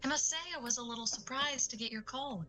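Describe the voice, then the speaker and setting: A woman playing a polite, measured eye doctor in an audio drama, professional and tactful. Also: a little high, a crowd in the background, close to an everyday voice, low-quality recording.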